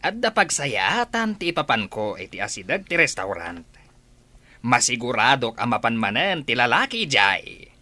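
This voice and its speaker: A man's voice: medium pitch, big pitch swings, moderate pace, pushed and bright, no echo. A man with a Filipino accent playing a sly, scheming, commanding boss of car thieves in a radio drama. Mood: urgent, commanding.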